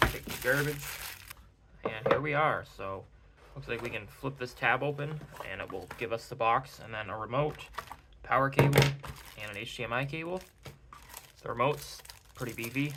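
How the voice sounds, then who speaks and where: A young man with a raspy, hoarse voice from a cold, reviewing a tech gadget in a casual, rambling way at home. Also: medium pitch, a bit bright. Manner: rambling, self-correcting.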